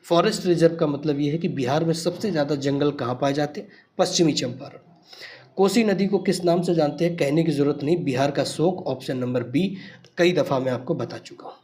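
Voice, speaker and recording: A man's voice: fairly high pitch, fairly quick, a little mellow. A man teaching exam questions, speaking emphatically and repeating key points as he addresses students familiarly. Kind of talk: quiz lesson explanation for exam students.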